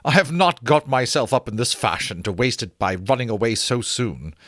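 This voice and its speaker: A man's voice: mid-range voice, animated, normal speed, a bit bright, no room sound, studio quality. A man voicing a blunt, hearty, teasing woman captain in a storybook reading. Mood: brash, teasing.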